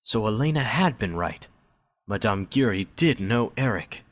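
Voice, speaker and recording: Male narrator, low pitch, scripted storytelling, amateur audio drama. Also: animated, a little slow.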